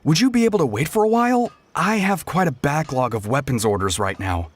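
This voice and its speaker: A man's voice: fairly high pitch, expressive, a little fast, slight background noise, lightly acted. A young man playing a polite, apologetic swordsmith apprentice in a video game.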